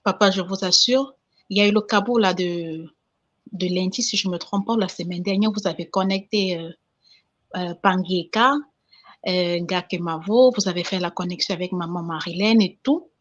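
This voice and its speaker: A woman's voice: on the low side, moderate pace, dull audio. Emotional woman from Cameroon calling in. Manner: emotional, repeats herself, voice breaking at times. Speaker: caller giving testimony. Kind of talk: emotional call-in testimony.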